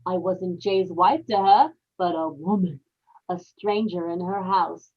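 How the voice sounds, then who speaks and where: A woman's voice: on the low side, measured pace, highs cut off. A woman playing a tormented, bitter young wife confessing to murder in an old-time radio horror drama, in an anguished dramatic delivery. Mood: bitter, resentful.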